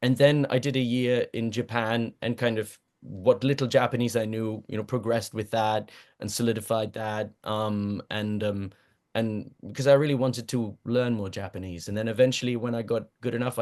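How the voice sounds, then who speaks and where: A man's voice: on the low side, a little mellow. Chatty German-native man, laughing a lot. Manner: rambling, self-deprecating, laughs often, many restarts. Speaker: guest voice actor. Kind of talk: casual interview chat.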